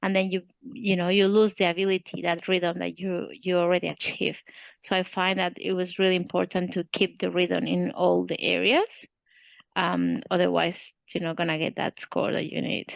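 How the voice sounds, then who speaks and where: A young woman with a Spanish accent and a gravelly edge to her voice. She speaks hesitantly, pausing on fillers and restarting her sentences. The sound is dry and close. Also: on the low side, flat delivery, no echo, muffled recording. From Spain. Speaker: student interviewee. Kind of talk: casual interview.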